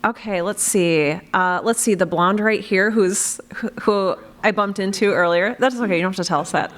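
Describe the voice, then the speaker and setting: Woman, moderator, medium pitch, casual speech, live panel. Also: moderate pace, a bit bright. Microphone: wired panel microphone.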